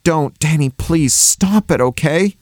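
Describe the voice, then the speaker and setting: A man's voice: a little high, slow, a little mellow, dry recording, clean studio sound, pitched above the performer's own voice. A man voicing an exasperated, helpless boy, an older brother pleading earnestly, in an audiobook story.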